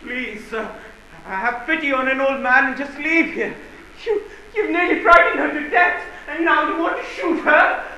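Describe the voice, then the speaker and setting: A man's voice: very high-pitched, moderate pace, few pauses, sharp tone, exaggerated voice. A man acting a timid, panicky old manservant in a theatrical farce, fretful and pleading. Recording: very echoey room, far from microphone.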